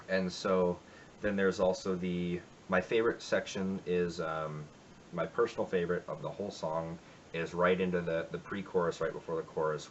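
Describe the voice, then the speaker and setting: Low-voiced male musician, rambling and reflective, home room recording slightly dull with faint hiss. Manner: rambling, reflective, many fillers. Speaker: musician covering a song. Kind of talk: casual spoken intro to a cover.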